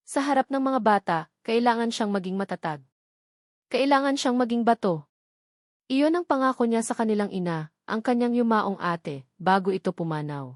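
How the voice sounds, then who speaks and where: A woman's voice: mid-range voice, no echo. Filipino woman narrating dramatically, dry studio sound. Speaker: story narrator. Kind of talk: dramatic scripted story narration.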